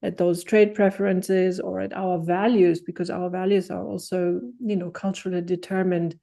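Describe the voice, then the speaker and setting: Mellow-voiced woman asking halting questions. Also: fairly low pitch, little pitch movement, vocal fry. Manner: thoughtful, halting, many restarts while building a question. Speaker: podcast host. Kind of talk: interview questions.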